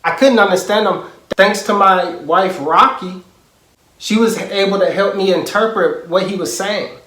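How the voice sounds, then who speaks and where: A man with a high-pitched voice performs a parody monologue as an aggrieved, self-important music mogul. He sounds boastful and indignant, leaning on emphatic pauses. The recording has a noticeably roomy echo. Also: a bit bright. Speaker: comedic impressionist.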